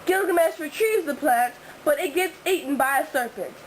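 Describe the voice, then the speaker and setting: A woman's voice: high voice, expressive, moderate pace, slight background noise, low-quality recording. A teenage girl narrating a school puppet show playfully, then interviewing classmates. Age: teens. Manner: playful, giggly.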